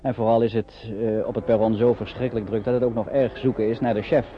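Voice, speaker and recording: An actor as a chatty, sympathetic narrator telling a radio play story in colloquial Dutch style. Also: male voice, medium pitch, level tone, fast talker, a crowd in the background, close to an everyday voice. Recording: dull, noisy old radio recording.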